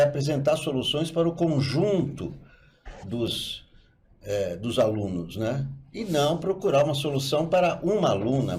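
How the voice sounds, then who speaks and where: A man's voice: mid-range voice, a little mellow, a little hiss or hum. A man giving political commentary in a discussion, speaking emphatically and tagging his points with questions.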